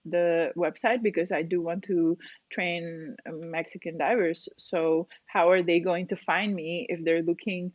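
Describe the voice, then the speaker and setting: A woman's voice: lowish voice, steady tone, measured pace, a little mellow, no echo. Explanatory woman in her forties, lo-fi. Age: 40s. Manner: chatty, explanatory, laughs often. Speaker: guest, cave diving instructor. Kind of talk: casual interview talk.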